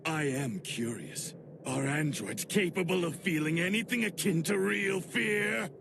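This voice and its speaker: An actor voicing a proud, menacing, taunting alien warrior in an anime dub. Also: male voice, fairly high pitch, animated, measured pace, vocal fry, background noise. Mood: menacing, mocking. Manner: taunting, bombastic. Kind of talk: dubbed anime acting. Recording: loud music over anime clip.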